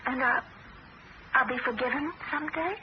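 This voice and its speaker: A frightened young woman who won't leave her house, her voice breathy and haunted, slipping between dreamy calm and dread, as an actress plays her. It sounds like a muffled old radio broadcast with heavy hiss. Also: high-pitched, moderate pace, close to an everyday voice. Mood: anxious. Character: fragile, frightened young woman obsessed with death. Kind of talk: 1970s radio drama acting.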